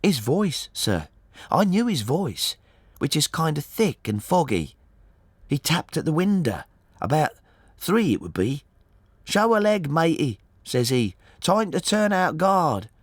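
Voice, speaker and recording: An anxious, chatty working-class wife from a storybook, played by a man, pitched high and swinging as she talks. Her speech is colloquial and deferential, full of fretting, and the sound is close and dry. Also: sing-song, measured pace, dry recording. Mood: uneasy, insistent. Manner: chatty, colloquial, deferential, saying sir often. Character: anxious, talkative working-class married woman, stoutish. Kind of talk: audiobook character voice.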